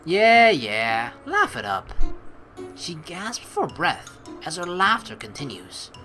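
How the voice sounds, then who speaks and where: A woman streamer plays through a story game, reading narration and reacting casually. Her delivery is playful and very animated in pitch, with faint game music behind her. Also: takes it slowly. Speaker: let's play streamer. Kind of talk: gaming commentary mixed with reading game narration aloud.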